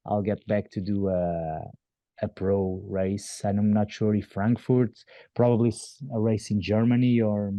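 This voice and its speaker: A man in his forties with a Portuguese accent, a native Portuguese speaker, giving thoughtful, halting interview answers full of restarts. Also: on the low side, little pitch movement, mellow, no echo. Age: 40s. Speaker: athlete guest.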